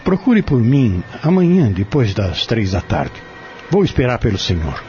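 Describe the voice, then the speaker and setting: A man playing a secretive peddler in a Brazilian audio drama, speaking in a measured, formal, confiding way. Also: medium pitch, sing-song, darkish tone. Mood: courteous, cautious. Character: secretive male peddler guarding a dead friend's secret. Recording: dull lo-fi audio drama with music.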